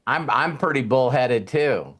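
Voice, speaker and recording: A man hosting an online group call, speaking in a casual, heartfelt way, halting and repeating phrases for emphasis. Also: mid-range voice, animated, fairly bright tone, breathy edge. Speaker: host of an online community call.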